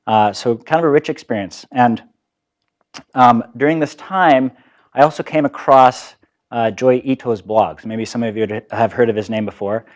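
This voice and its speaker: A man's voice: mid-range voice, moderate pace. A man in his thirties who grew up in Japan, giving a lecture about his career as a startup founder, talking easily with many fillers and restarts. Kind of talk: conference lecture. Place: lecture hall.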